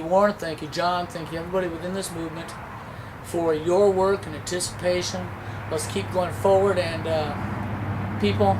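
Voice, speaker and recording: A man's voice: high-pitched, background noise. A man urging viewers to speak out, emphatic and exhorting, often asking "okay?". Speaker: movement organizer.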